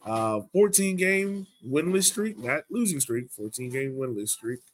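A man's voice: medium pitch, big pitch swings, unhurried, a little hiss or hum. A man hosting a sports podcast, chatting casually and informally. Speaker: podcast host.

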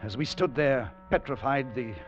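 An actor in an old radio drama playing a tense, haunted adventurer telling of his expedition, dramatic and at times halting. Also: medium pitch, faint music behind, close to an everyday voice. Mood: tense, suspenseful. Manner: dramatic, hesitant and halting in dialogue. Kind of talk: 1940s-50s radio drama narration and acting. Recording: dull old radio recording.

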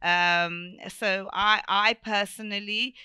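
A woman's voice: mid-range voice, fairly even intonation, slow pace, pushed and bright, smooth, no room sound, studio quality. A middle-aged woman dentist who did not grow up speaking English, giving earnest advice in an interview with plenty of fillers. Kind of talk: conversational interview answers.

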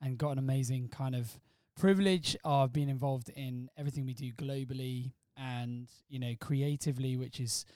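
A man's voice: mid-range voice, steady tone, moderate pace, hardly any pauses, a little mellow, no echo, clean studio sound. A middle-aged man from Wales who says he doesn't sound Welsh, chatting as a guest on his wife's podcast, joking and teasing as he tells stories. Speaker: podcast guest, host's husband. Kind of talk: casual chat.

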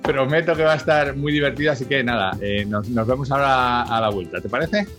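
Energetic, chatty podcast host, a man. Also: mid-range voice, a little fast, soft background music. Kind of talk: casual interview hosting.

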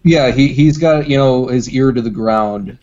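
A man's voice: medium pitch, measured pace, darkish tone, muffled recording. A man chatting casually on a comedy show. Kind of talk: casual chat.

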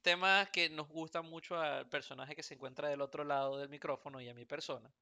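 A man's voice: fairly high pitch, fairly even intonation, brisk, pushed and bright, dry recording. A Venezuelan man in his thirties hosting a casual podcast, joking and rambling with many fillers and restarts. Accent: Venezuelan Spanish. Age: 30s. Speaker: podcast host. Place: Houston, United States.

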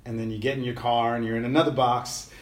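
A man's voice: medium pitch, little pitch movement, fast, roomy sound. A middle-aged man, a musician guest talking casually in an easygoing, rambling way with slang and fillers. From New York City. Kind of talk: casual conversational interview.

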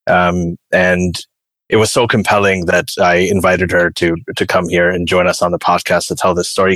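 Deep-voiced man hosting a podcast. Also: fairly bright tone, no echo. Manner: halting, many fillers and restarts. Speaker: podcast host. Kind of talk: podcast interview.

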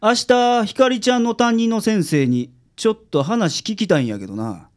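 A woman's voice: mid-range voice, expressive, moderate pace, a little mellow, lightly acted. A woman playing a blunt, laid-back male private detective with a Kansai accent in a radio drama. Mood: blunt, offhand. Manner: blunt, offhand.

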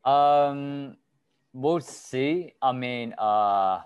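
A man hosting an interview podcast in casual chat, halting with many fillers and restarts. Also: mid-range voice, smooth, dry recording, highs cut off. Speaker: podcast host.

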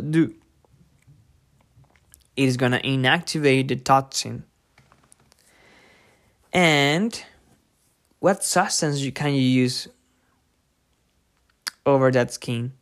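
A podcast host giving an informal lesson from a book, thinking aloud haltingly and repeating difficult words. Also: mid-range voice. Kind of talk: informal lesson read from a book with personal asides.

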